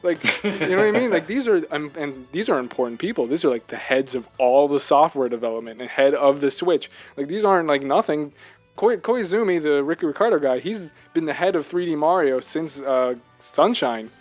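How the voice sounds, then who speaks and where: Male gaming podcast co-host, voice a touch high, animated and rambling, music underneath. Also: a little fast. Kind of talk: casual video game discussion.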